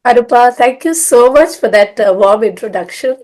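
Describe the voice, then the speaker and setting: Hoarse middle-aged woman, rambling. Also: on the high side, animated, moderate pace, darkish tone. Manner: rambling, many fillers. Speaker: guest, language school founder. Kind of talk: conversational storytelling.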